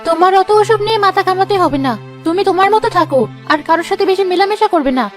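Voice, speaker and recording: A woman's voice: extremely high pitch, quick pace, soft background music. A woman playing a bossy, nagging, hard-hearted village wife in a cartoon, scolding as she talks. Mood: nagging, irritated. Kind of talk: Bengali cartoon voice acting.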